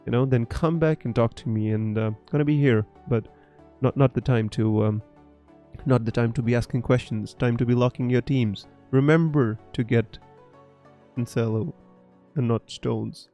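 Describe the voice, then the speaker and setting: A man's voice: medium pitch, fairly even intonation, normal speed, dark tone, faint music behind. A young man from Punjab in North India, with an accent picked up from gamers around the world, chatting on a live stream and reading out viewer comments with many fillers and restarts. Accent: mixed international English, picked up online. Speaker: fantasy football streamer.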